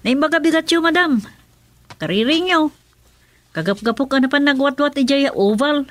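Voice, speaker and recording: A woman's voice: high voice, expressive, moderate pace, music in the background. An actress playing a polite, chatty household employee talking deferentially to her madam in an Ilocano radio drama. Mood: cheerful.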